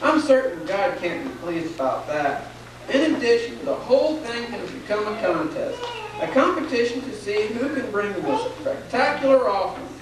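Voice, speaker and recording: A performer playing a kindly, devout old grandfather in a puppet show, telling things earnestly and gently. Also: mid-range voice, close to an everyday voice. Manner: earnest storytelling, gently reassuring. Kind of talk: stage puppet show dialogue. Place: church, recorded from afar. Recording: very echoey, distant, noisy 1990s church recording.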